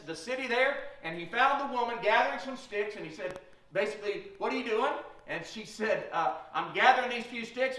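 Middle-aged guy preaching, bright voice, mic way back in an echoey little church. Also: sits high, recorded from a distance. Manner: storytelling preacher retelling Bible stories. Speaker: pastor. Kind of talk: sermon. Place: small church sanctuary, at a pulpit. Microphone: room mic from a far camera.

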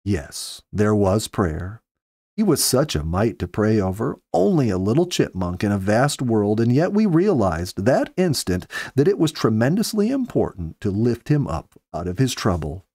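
A man in his fifties reading a story aloud with earnest, measured, dramatic phrasing, close to a studio microphone. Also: on the low side, big pitch swings, dark tone, breathy edge, no room sound. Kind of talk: expressive audiobook-style story narration. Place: small home study, indoors. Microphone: close studio mic with pop filter.

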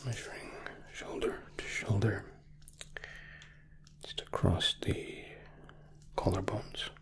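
A man performs an ASMR roleplay in a low, half-whispered voice with a gravelly crackle. He speaks close to the mic in a polite, formal way, pausing hesitantly as he goes. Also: level tone. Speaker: ASMR roleplay performer, playing a royal sculptor. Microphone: close microphone.

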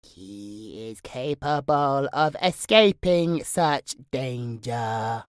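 A woman's voice: extremely low pitch, expressive, extremely slow, no room sound, studio quality, full character voice. A woman playing a gleeful, sinister demonic entity in a horror audio roleplay, taunting in a singsong, menacing way. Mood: mocking, playful.

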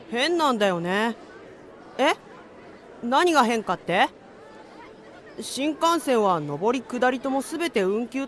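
A woman playing a gentle, naive schoolboy with an airy, unhurried manner in an anime audio drama. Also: fairly high pitch. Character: gentle, naive, slow-witted schoolboy on the phone.

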